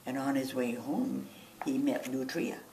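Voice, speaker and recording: A woman reading a storybook aloud as a children's storyteller, in an animated style. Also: extremely low pitch, unhurried. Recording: roomy, distant mic, faint noise.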